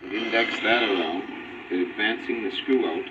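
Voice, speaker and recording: A man in an interview giving a technical explanation, halting and thinking aloud, heard through old tape playback. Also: fairly low pitch. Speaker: interviewee explaining machining process. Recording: noisy muffled tape playback, distant from microphone.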